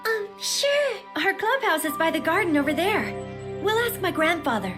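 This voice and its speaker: A woman playing a lively, affectionate teenage schoolgirl in an anime audio drama dub, expressive and playful. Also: extremely high pitch, normal speed. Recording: voice over loud background music.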